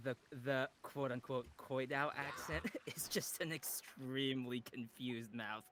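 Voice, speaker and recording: A man's voice: medium pitch, fairly even intonation, takes it slowly, very noisy. A Vietnamese-born man whose first language is Vietnamese, a voice actor guest chatting casually with lots of restarts and laughs. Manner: laughing, self-deprecating, stumbling restarts. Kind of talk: casual interview chat.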